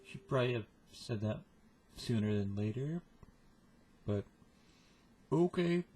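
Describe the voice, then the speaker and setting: A man's voice: mid-range voice, animated, takes it slowly, mellow, slight background noise. A man giving casual reaction commentary as the host of a reaction video.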